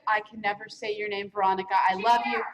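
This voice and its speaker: A woman's voice: mid-range voice, flat delivery, fast talker, lo-fi sound. A woman co-presenting a live awards show in a playful, chatty way from the stage. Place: live event stage before an audience. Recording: noisy live event stage microphone.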